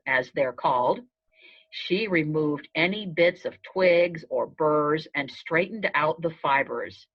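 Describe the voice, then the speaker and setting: A woman narrating a children's storybook aloud in the first person, in a measured storytelling manner. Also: low voice, breathy edge. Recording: dull, lo-fi sound.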